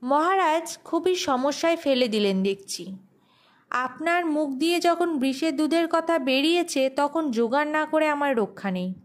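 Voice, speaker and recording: A woman's voice: high-pitched, animated, unhurried. A woman voicing a witty, put-upon but dutiful male court jester in an audio folk tale. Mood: worried, resigned.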